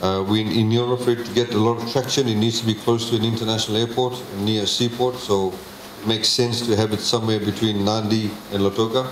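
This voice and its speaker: Guy giving a public talk, slow with lots of uhs, kinda low voice, echoey hall. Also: little pitch movement, a little hiss or hum. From Fiji. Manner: measured, many filler pauses. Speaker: government minister presenting a budget. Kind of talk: public presentation with slides. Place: public consultation meeting hall. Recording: echoey hall, faint ambience.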